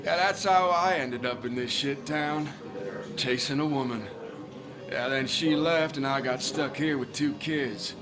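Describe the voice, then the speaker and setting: An actor playing a cynical small-town drunk in a video game, blunt and teasing with a sardonic manner. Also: male voice, medium pitch, a little slow, music in the background, lightly acted. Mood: wry, bitter.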